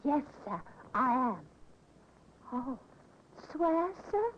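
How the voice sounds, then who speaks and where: A woman's voice: high voice, big pitch swings, extremely slow, heavily acted. A woman acting a timid, deferential young Victorian housemaid in a 1950s radio drama, earnest and hesitant. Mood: frightened, earnest. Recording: muffled, echoing old radio recording, noisy.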